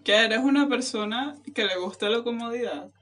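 A woman's voice: fairly high pitch, moderate pace, a bit bright, recorded from a distance. A young woman in a casual chat, teasing her friend and laughing along.

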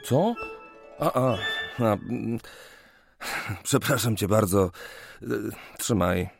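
A man's voice: on the low side, animated, slow, over music. A man playing a lovesick, distracted young geometer in a radio play, speaking in a hesitant, earnest, wistful way. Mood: troubled, absent-minded. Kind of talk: Polish radio play acting.

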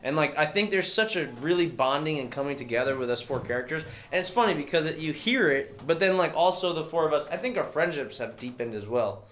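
A man's voice: on the high side, expressive, fairly quick, hardly any pauses, fairly bright tone. A man chatting casually and enthusiastically as a cast member in a group interview, in an echoey room. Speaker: voice actor. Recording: echoey room, faint noise.

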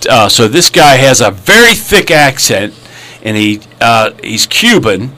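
A man's voice: mid-range voice, animated, unhurried, sharp tone. A man hosting a casual podcast chat, rambling and joking with lots of fillers. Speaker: podcast host.

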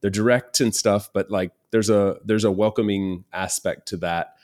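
Deep-voiced man, halting casual chat. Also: fairly even intonation, darkish tone. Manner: hesitant, restarts, many fillers.

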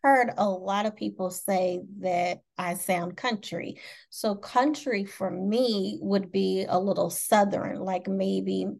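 A woman from Indiana with a Midwestern American accent, hosting a casual interview with friendly, quick questions. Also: medium pitch, fairly even intonation, creaky, a little mellow. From Indiana, USA. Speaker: talk show host.

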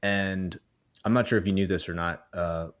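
A man's voice: low voice, steady tone, normal speed. A young man interviewing a colleague in a casual, rambling way, full of fillers and restarts.

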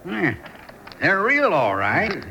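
Gravelly wide-eyed old west townie, kinda high voice, radio play. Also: male voice, wildly swooping pitch, slow pace, sharp tone, full character voice. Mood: eager curiosity. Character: gullible, eager frontier townsman, easily impressed. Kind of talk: old-fashioned Western radio drama acting. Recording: noisy 1970s radio drama broadcast.

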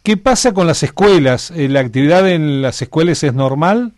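A man's voice: fairly high pitch, lively intonation, moderate pace, a little mellow, dry recording, studio quality. A man with an Argentine Spanish accent interviewing a guest, asking patient questions and answering with short affirmations. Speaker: radio interviewer.

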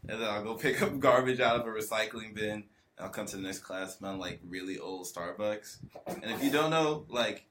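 A man's voice: on the low side, normal speed, fairly bright tone, a little hiss or hum. A young man from the US South, a podcast guest, telling stories in a laid-back way with lots of fillers. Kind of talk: casual chat.

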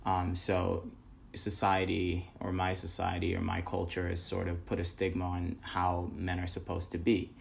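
Man from ghana, very deep flat voice, gravelly, earnest, faint noise behind. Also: moderate pace. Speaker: guest. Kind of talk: prepared personal reflection.

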